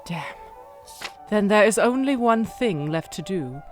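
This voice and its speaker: A woman acts a young upper-class heiress in a radio drama. She is poised and determined, with very expressive pitch and a middling register. Light music sits behind her. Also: unhurried, lightly acted. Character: young aristocratic heiress, resolute, coolly determined debutante.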